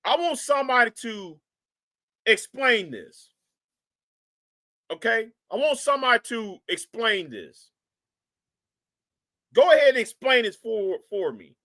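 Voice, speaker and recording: Animated man scolding on a live stream. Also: very high voice, unhurried, pauses often. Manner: animated, repetitive, mocking, scolding. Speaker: live stream host reacting to a video. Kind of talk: reaction commentary to a live chat.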